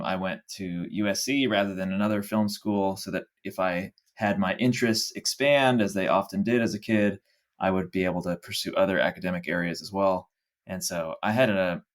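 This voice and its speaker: An American man from Texas speaking casually as a guest in an interview. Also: sits low. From Dallas, Texas, United States. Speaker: podcast guest, tech founder.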